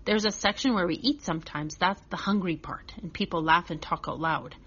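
A woman's voice: lowish voice, brisk, fairly bright tone, slightly rough. Through slightly muffled audio comes a woman playing a restless little boy of six in a children's story. He chatters earnestly and expressively, in a childlike first-person telling. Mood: earnest, chatty.

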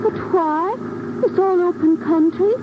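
A delicate young guide in a vintage radio play. Her voice is extremely high, with an earnest, anxious politeness. The sound is thin and phone-like, buried in loud noise. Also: unhurried. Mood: anxious questioning. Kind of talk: 1950s radio drama acting. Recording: tinny, very noisy old radio recording.

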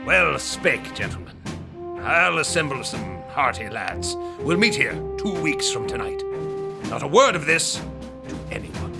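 A man playing a fierce, commanding colonial ringleader in a radio drama, with theatrical, emphatic archaic speech and a gravelly, forceful voice. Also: medium pitch, sing-song, measured pace, over loud music. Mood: conspiratorial. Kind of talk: period radio drama acting.

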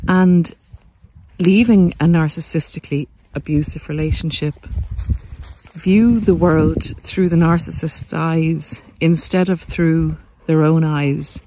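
A man with a fairly high voice delivers a reflective monologue as a coach addressing listeners. He speaks earnestly and deliberately, breaking thoughts into short phrases. He is recorded outdoors, with clear background noise of the countryside around him. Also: warm tone, rough recording. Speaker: podcast host and narcissistic abuse coach. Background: country sounds.

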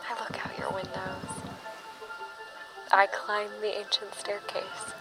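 An actress in a radio play playing a weary, awed young mother, speaking in a hushed, deliberate way. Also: mid-range voice, little pitch movement, close to an everyday voice, low-quality recording. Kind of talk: poetic radio play monologue. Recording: clear music under the voice.

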